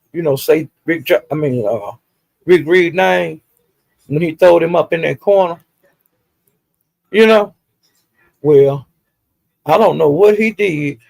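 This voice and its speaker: A woman's voice: sits low, gravelly, darkish tone, no echo. An older woman, chatting casually to live viewers as a livestream host in a folksy, rambling, salty-tongued way.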